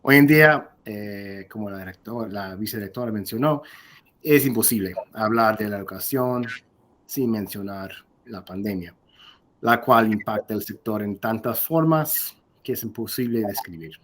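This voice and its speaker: Soft-spoken man lecturing over video. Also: lowish voice. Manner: halting and earnest, frequent restarts. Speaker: guest lecturer. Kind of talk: formal conference lecture.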